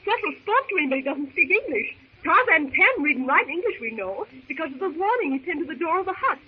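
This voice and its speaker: Lively, high-voiced proper young lady. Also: a little fast. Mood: earnest. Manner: genteel, emphatic, proper diction. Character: earnest, proper young lady, the professor's daughter. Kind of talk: 1930s radio serial acting. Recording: tinny, noisy 1930s radio recording.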